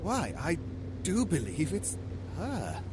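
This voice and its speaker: An actor playing a polite, fretful explorer sidekick turned hungry cannibal in an audio drama. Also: male voice, a little high, wildly swooping pitch, slow, background noise, full character voice. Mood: deferential, doubtful. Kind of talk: theatrical audio drama acting.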